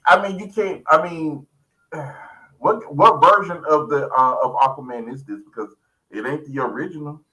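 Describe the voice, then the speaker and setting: A man's voice: fairly high pitch, moderate pace, sharp tone, rough recording. A man co-hosting a casual chat in a joking, questioning and insistent way. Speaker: guest co-host.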